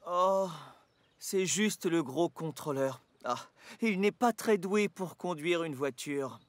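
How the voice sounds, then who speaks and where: A man's voice: fairly high pitch, slow pace, no room sound. A man voicing a young, anxious and cheeky little rail car in a children's cartoon dub. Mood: anxious, grumbling. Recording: music playing behind.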